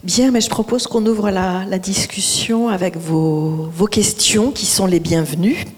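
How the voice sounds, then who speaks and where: A woman moderating a panel, brisk and encouraging. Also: mid-range voice, breathy edge. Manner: brisk, with many short backchannels. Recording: reverberant hall.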